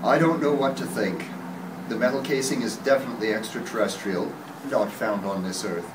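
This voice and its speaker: A man's voice: fairly low pitch, moderate pace, natural voice. Man playing a precise professor, echoey and distant. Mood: puzzled, measured. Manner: precise, formal. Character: measured male scientist professor, puzzled and authoritative. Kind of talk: 1930s radio drama acting. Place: hotel conference room. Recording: distant, echoey, noisy room recording.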